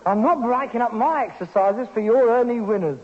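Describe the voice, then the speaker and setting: An actor playing a frustrated, self-important London Cockney son with grand ambitions in a 1960s radio sitcom. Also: male voice, extremely high pitch, a little fast. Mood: dismissive. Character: frustrated, pretentious rag-and-bone man son with grand ambitions. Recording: dull, noisy 1960s radio recording.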